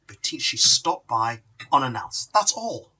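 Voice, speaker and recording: A man's voice: mid-range voice, big pitch swings, a little slow, pushed and bright, breathy edge, heavily acted. A man acting in an audio drama as an evasive fiancé, guarded and dismissive, recorded some distance from the microphone. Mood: defensive. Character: evasive, defensive male fiancé, office professional.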